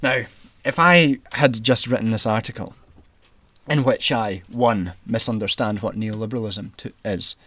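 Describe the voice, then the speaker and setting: A young Scottish man streaming commentary, ranting in an indignant, sarcastic, argumentative way, his voice getting raspy. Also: lowish voice, moderate pace, fairly bright tone, no echo.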